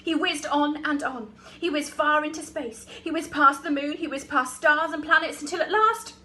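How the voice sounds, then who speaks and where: A woman reading a children's story like an infant school teacher, expressive and with dramatic emphasis. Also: high-pitched, normal speed.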